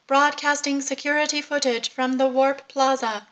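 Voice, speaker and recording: A woman's voice: high-pitched, fairly even intonation, moderate pace, fairly bright tone. A woman playing a precise, helpful ship's computer assistant, formal and informative, in a sci-fi audio comedy. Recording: clear background noise with clicks.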